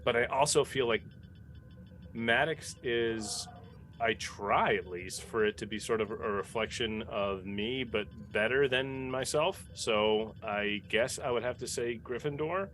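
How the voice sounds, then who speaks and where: A man answering casually as a podcast cast member, in a measured and somewhat hesitant manner. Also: mid-range voice, fairly bright tone, slight background noise. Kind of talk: casual group chat.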